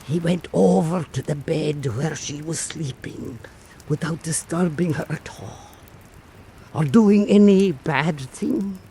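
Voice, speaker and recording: A man telling a folk tale as scripted narration, in a measured and dramatic manner. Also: on the high side, dark tone, a little hiss or hum. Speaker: storyteller.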